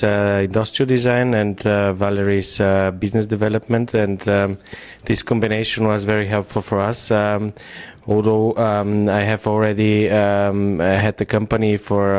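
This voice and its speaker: A hesitant man co-founder speaks in a low, flat voice that stays smooth and clear. He fills his sentences with ums and uhs, and his phrasing is non-native. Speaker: company co-founder. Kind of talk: pitch presentation.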